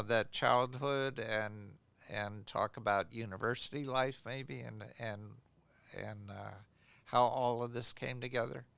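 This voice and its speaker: A man hosting a podcast interview, asking his guest questions in an unhurried, conversational way. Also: mid-range voice, gravelly, fairly bright tone, smooth, no room sound. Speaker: podcast host.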